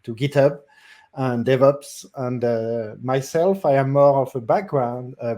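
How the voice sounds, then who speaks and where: Soft-toned French man, hesitant. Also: medium pitch. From France. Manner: hesitant, many fillers and restarts. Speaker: solutions engineer, co-host. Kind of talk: casual self-introduction in a webinar.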